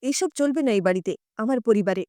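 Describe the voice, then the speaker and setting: A woman's voice: a little high, lively intonation, quick pace, warm tone, no echo, studio quality, pitched below the performer's own voice, close to an everyday voice. A woman voicing a harsh, sarcastic, domineering older father-in-law in an audio story. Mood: scornful, forbidding. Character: gruff, domineering older Bengali father-in-law, scornful patriarch.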